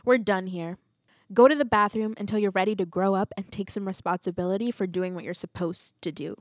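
A woman's voice: medium pitch, a little fast, warm tone, no echo, studio quality, close to an everyday voice. A woman voicing a cruel, sneering female teacher who berates a child in a scornful, accusatory, theatrical manner. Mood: cold, cutting.

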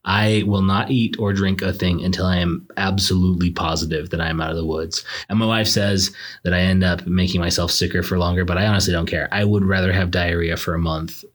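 A young man hosting a podcast, a little hoarse after being sick, chatting in a self-deprecating, joking way and laughing often. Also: low voice, steady tone, a little fast. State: hoarse, recovering from illness. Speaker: podcast host, law student. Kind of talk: casual monologue and interview.

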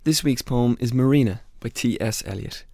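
A man's voice: medium pitch, moderate pace, darkish tone, no echo, clean studio sound. A man with an Irish accent presenting and interviewing on a radio programme about poetry. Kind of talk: scripted radio introduction and conversational interviewing.

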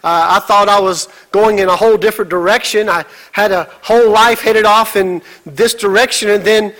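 A man's voice: sits high, fairly even intonation, normal speed, a bit bright, poor audio. A middle-aged man preaching a sermon as a pastor, emphatic, with repeated exclamations and rhetorical questions.

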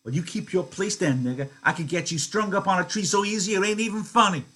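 Man voicing a sneering young ranch wife, raised pitch, taunting, threatening, reading aloud. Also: expressive, moderate pace, fairly bright tone, breathy edge. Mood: menacing. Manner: high, taunting and menacing.

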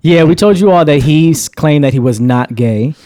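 A man's voice: fairly high pitch, moderate pace, dry recording, studio quality. A man hosting a casual podcast chat, upbeat and leading the topics, just over an illness. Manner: upbeat, lively, leads the topics. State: recovering from illness. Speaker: podcast host.

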